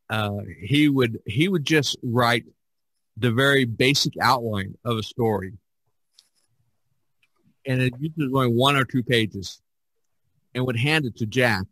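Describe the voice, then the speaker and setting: A man's voice: medium pitch, fairly bright tone, no room sound, poor audio. An older man in a casual podcast discussion, rambling and opinionated as he talks. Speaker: podcast host.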